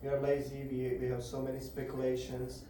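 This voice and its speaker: Man, devotional lecturer, halting delivery, flat even tone, very echoey room, distant mic. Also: medium pitch, a little hiss or hum. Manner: halting, searching for words. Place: indoor gathering room.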